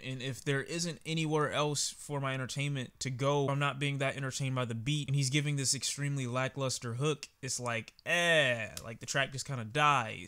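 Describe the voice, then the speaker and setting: A man gives a casual album review into a close mic. His voice is clear and resonant, sitting at a middle pitch. He talks candidly and conversationally, with frequent fillers. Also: bright, forceful tone. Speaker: music reviewer. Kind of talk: casual album reaction commentary.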